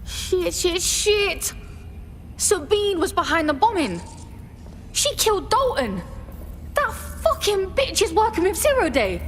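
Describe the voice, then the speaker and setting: Defiant young British female rebel for a game: high voice, highly animated, blunt, streetwise. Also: takes it slowly, a little hiss or hum, full character voice. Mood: furious, alarmed. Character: feisty, foul-mouthed young female resistance fighter. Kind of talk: cinematic video game acting.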